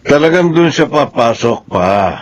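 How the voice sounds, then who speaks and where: A man's voice: mid-range voice, sing-song, unhurried, lo-fi sound. An actor playing a strict, ambitious father in a radio drama, explaining himself defensively. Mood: insistent. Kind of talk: Filipino radio drama acting.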